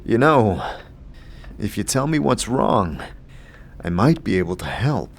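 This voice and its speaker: A man voicing a gentle, weary wanderer in an audio drama, hesitant and soft-spoken as he offers help. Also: lowish voice, very animated, unhurried, exaggerated voice. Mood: concerned.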